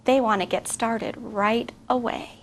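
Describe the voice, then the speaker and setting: High-voiced woman reading to children. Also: measured pace, slight background noise. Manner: expressive, questions to young listeners. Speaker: guest reader, teacher. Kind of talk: reading a picture book aloud to children.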